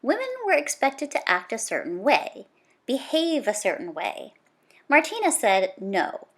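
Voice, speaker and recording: Animated, higher-pitched woman reading to children. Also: measured pace. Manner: emphatic, conversational, stresses repeated words. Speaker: teacher reading a picture book aloud to children. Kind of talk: animated children's read-aloud.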